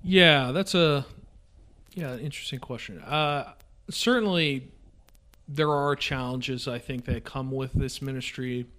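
A young man in an interview, talking earnestly at an ordinary pitch. He often pauses with um and you know while searching for words. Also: fairly bright tone. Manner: earnest, with many ums and you knows. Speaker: seminarian guest. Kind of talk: interview answers.

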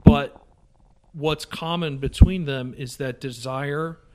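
A young man in an interview, talking earnestly at an ordinary pitch. He often pauses with um and you know while searching for words. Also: noisy background. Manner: earnest, with many ums and you knows. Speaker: seminarian guest. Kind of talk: interview answers.

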